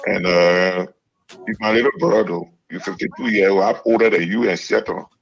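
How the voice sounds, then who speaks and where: A man's voice: deep voice, steady tone, normal speed, vocal fry, dull audio, a crowd in the background. A man calling in to a radio show to give a birthday greeting, rambling and laughing as he talks. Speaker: caller, host of another show.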